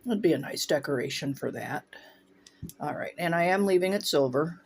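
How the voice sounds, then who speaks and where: Gravelly man demonstrating glasswork. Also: a little high, normal speed, slight background noise. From Northwest Ohio, USA. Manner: relaxed, talks while working, thinks aloud. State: runny nose, voice briefly faltering. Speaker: stained glass instructor. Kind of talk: casual workshop demonstration. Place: home glass studio with windows open.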